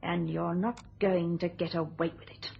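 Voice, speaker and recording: An actress playing a bitter, steely widow in a radio thriller, with a British accent and a controlled, deliberate delivery. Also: female voice, lowish voice, fairly even intonation, vocal fry. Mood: bitter, vengeful. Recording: dull lo-fi radio sound.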